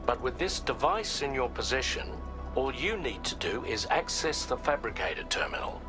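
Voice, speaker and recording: An actor playing a composed operations officer, giving measured, instructive mission briefings in a video game. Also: male voice, mid-range voice, very animated, over music, close to an everyday voice.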